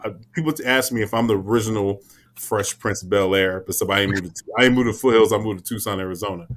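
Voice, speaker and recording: A man's voice: on the low side, fast, slight background noise. A man in his thirties from Philadelphia, a guest on a casual podcast, telling stories with slang and acting out what people said. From Philadelphia, USA. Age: 30s. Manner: animated storyteller, acts out quotes, slangy.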